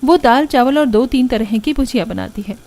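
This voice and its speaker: A woman's voice: fairly high pitch, moderate pace, dark tone. A woman narrator reading a scripted cartoon story.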